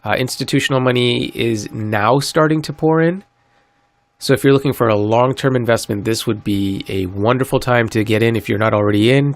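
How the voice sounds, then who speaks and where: A man hosting a solo podcast, a little stuffy from a cold, talking in an earnest, upbeat sales-pitch way. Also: no echo. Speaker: podcast host. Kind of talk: solo crypto podcast monologue.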